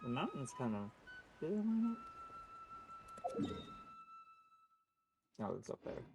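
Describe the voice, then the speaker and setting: Streamer commenting live on a playthrough, lively mid-pitched voice, playful offhand reactions, faint game music. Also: slow pace.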